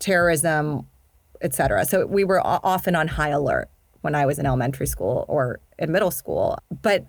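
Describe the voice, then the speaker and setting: A woman's voice: deep voice, animated, creaky, no room sound. A woman in her thirties chatting as a podcast guest, choosing her words carefully and restarting often. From grew up in Indonesia and Sudan. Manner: measured, hedging with many restarts. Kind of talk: casual conversation.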